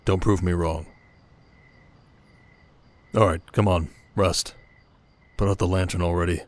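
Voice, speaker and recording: A man playing a steady, protective desert king in an ASMR audio drama, speaking in a measured, intimate way. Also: sits low. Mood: concerned, tender.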